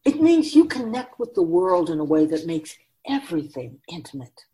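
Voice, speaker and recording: A woman acts out an otherworldly keeper of souls for radio drama, wise and oracular. The voice sits on the low side and swings widely in pitch, stressing each teaching and posing rhetorical questions. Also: very animated, normal speed, dark tone. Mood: earnest, urging. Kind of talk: radio drama dialogue, lecturing.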